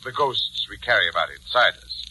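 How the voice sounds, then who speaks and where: A man playing a shrewd, suspicious doctor in a 1940s radio drama, measured, formal and pointed, on an old broadcast recording. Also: fairly low pitch, expressive, pushed and bright. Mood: probing, explanatory. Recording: faintly noisy vintage radio recording.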